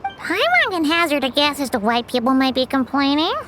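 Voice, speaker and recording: A woman voicing a tiny, excitable, childlike female fairy companion with a squeaky voice in a video game. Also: fairly quick. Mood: cheeky. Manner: refers to self in third person, exclamatory. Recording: loud music behind the voice. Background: music.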